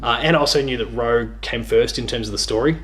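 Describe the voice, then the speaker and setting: A man's voice: medium pitch, quick pace, a bit bright, a little hiss or hum. An Australian man in his thirties hosting a casual chat about video games. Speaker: host. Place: living room, indoors.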